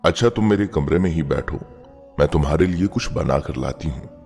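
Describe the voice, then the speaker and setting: A man's voice: a bass voice, expressive, moderate pace, vocal fry, darkish tone, heavily acted. A man voicing a doting, affectionate middle-aged mother in a dramatic moral story. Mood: gentle concern. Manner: affectionate, coaxing. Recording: long reverb, music bed behind.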